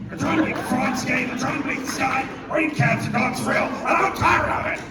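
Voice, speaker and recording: A man's voice: extremely high pitch, a little fast, loud music behind the voice, a loud crowd, voice pushed up. Man doing a ranting conspiracy host, distant and echoey. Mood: agitated ranting. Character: ranting, fed-up male conspiracy radio host. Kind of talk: exaggerated celebrity impression. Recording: distant, echoey, noisy convention hall.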